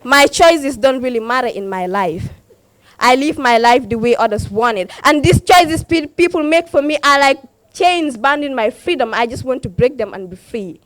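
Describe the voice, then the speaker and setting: A woman's voice: high-pitched, expressive, normal speed, no room sound. A young woman performing spoken word poetry at a slam, impassioned, with a repeated refrain and rising emphasis. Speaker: slam poet.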